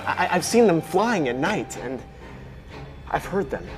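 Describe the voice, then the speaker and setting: An actor playing a frightened farmer in a horror film, speaking in a halting, fearful, drawn-out way. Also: male voice, a little high, very animated, over music. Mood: fearful, urgent. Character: frightened farmer haunted by strange flying creatures. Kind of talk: dramatic horror film acting.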